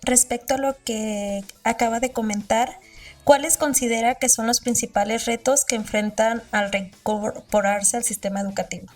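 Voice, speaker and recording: Higher-voiced woman interviewer. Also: fairly even intonation, normal speed, distant mic, faint music behind. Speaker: podcast interviewer, graduate student. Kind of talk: formal interview questions.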